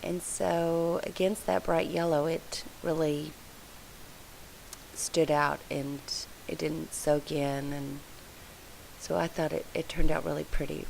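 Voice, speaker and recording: Hoarse woman, lowish gravelly voice, rambling chatty craft vlogger. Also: little pitch movement, takes it slowly, a little mellow, slight background noise, poor audio. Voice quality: froggy, gravelly voice. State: hoarse, congested from allergies. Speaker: scrapbooking video host. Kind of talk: casual voice-over narration of a craft process. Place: home scrapbook room.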